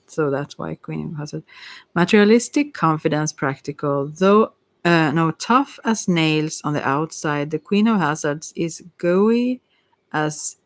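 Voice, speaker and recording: Low-voiced woman giving a soft tarot reading. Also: no room sound. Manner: soft-spoken, rambling, hesitant, giggly asides. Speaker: tarot reader and ASMR host. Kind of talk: casual ASMR tarot reading.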